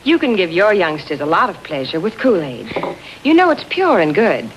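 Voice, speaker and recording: A woman giving a 1950s advertising sales pitch as a spokeswoman. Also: sing-song, moderate pace. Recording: noisy vintage advert audio.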